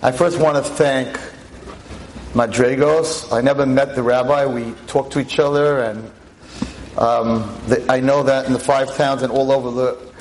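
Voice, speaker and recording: A veteran teacher in his sixties lecturing an audience on a microphone, with a loud voice, emphatic and full of rhetorical questions. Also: mid-range voice, fairly even intonation, a little slow, slight background noise, poor audio. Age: 60s. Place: talk in front of an audience. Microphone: handheld or stand mic.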